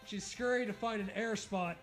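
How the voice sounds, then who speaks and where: Casting: male comedy reader, high voice, mock-serious delivery with joking asides, soft music behind. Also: moderate pace, poor audio. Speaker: co-host reading a fan fiction aloud. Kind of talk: comedic dramatic reading with asides.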